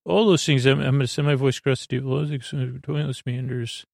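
A man with a creaky voice hosting a bedtime podcast in a soothing, meandering monologue that halts and loses its thread. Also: medium pitch, steady tone, no echo, clean studio sound. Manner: meandering, halting, losing his thread; soothing, lulling. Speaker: podcast host.

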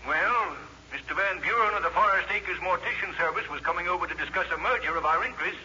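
A man's voice: high voice, fast, sharp tone. An actor playing a pompous middle-aged businessman, oratorical and easily flustered, in a 1950s radio comedy. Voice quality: oratorical, hesitant when flustered. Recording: muffled, noisy old radio broadcast.

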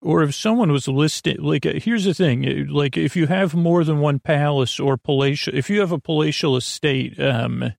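A man with a creaky voice hosting a sleep podcast, meandering gently, mumbling and stumbling over his words as he trails off. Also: on the high side, normal speed, hardly any pauses, no echo, studio quality. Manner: gentle, lulling. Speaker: podcast host. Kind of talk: rambling bedtime sleep podcast monologue.

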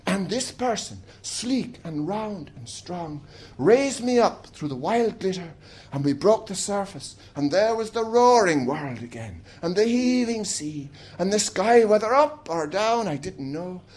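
Reader, measured lyrical reading, first-person literary prose, mid-range voice. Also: mid-range voice, lively intonation, a little mellow. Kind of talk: reading a literary passage aloud.

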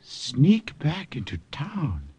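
A sly village priest. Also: male voice, on the high side, huge pitch swings, drawn-out words, darkish tone, husky, lo-fi sound, full character voice. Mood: sly, gentle. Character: shrewd French village priest in the underground. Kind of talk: 1940s radio acting.

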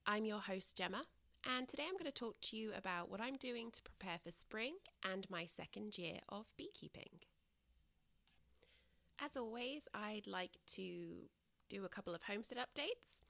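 A woman host raised in Britain, talking through a casual solo podcast, a little congested and croaky, candid and rambling with many ums. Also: medium pitch, animated, normal speed, pushed and bright, dry recording. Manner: chatty and candid, many ums and self-corrections.

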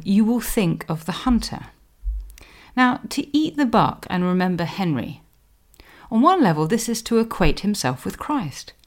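A woman presenting a scripted history explainer in a measured, scholarly manner, giving emphasis to quotations. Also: medium pitch, darkish tone. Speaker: podcast host, historian.

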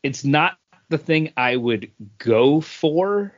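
A man's voice: on the high side, lively intonation, slow pace. A man in casual conversation as a podcast co-host. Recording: dull, lo-fi audio.

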